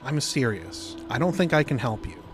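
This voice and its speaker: A man playing a reluctant, weary man fending off an unwanted caller in a horror audio drama, halting and curt. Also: mid-range voice, lively intonation, over music, natural voice. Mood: exasperated, firm.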